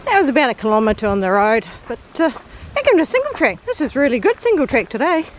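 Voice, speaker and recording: A woman's voice: sits high, sing-song, fast, a little hiss or hum. A woman with a mild New Zealand accent, chatting casually to her camera while walking a bush trail outdoors, laughing often. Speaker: hiker vlogger. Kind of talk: casual narration while hiking.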